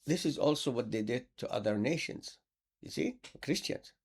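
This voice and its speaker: A man's voice: mid-range voice, expressive, moderate pace, breathy edge. A man from Palestine, an Islamic preacher delivering an impassioned, sarcastic monologue that repeats words for emphasis.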